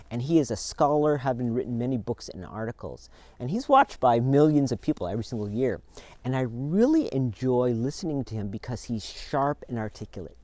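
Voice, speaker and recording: A man hosting a church video, speaking earnestly and in a measured way to his congregation. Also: mid-range voice, a little mellow, no echo.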